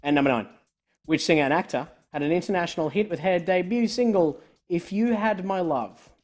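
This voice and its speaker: A man's voice: high-pitched, brisk. A man born in Scotland hosting a live online quiz, joking with his co-host and laughing often. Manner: jokey, laughing often, trailing off quietly.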